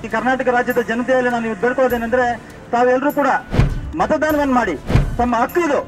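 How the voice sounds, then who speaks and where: A man with a Karnataka accent making a pleading, haltingly phrased appeal as a member of the public. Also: very high-pitched, steady tone, poor audio.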